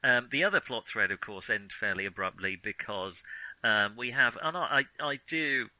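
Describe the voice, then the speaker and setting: A man's voice: mid-range voice, animated, moderate pace, sharp tone, no room sound, muffled recording. A man co-hosting a casual film discussion, rambling and hesitating as he thinks aloud. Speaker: podcast co-host.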